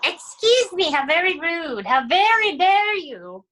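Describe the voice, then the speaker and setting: A woman's voice: very high-pitched, big pitch swings, unhurried, fairly bright tone, a little hiss or hum. A woman chatting casually on a group video call, playful and sassy as she answers questions. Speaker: actress answering questions about her character. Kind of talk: casual chat.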